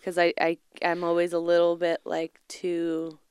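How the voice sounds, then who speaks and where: A woman's voice: lowish voice, completely flat delivery, unhurried, a little mellow, clean tone, dry recording. Young woman with a slightly closed-off nasal voice. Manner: rambling, dry humor. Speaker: podcast co-host. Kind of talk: casual chat.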